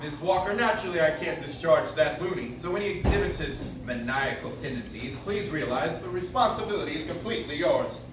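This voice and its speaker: Pompous, hostile psychologist played by a man; declamatory stage radio drama, animated pitch, distant noisy recording. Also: brisk, hardly any pauses, natural voice. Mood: indignant, scornful. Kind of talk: live stage performance in 1940s radio-play manner. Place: live stage in a coffee house. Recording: noisy, echoey audience recording from far off.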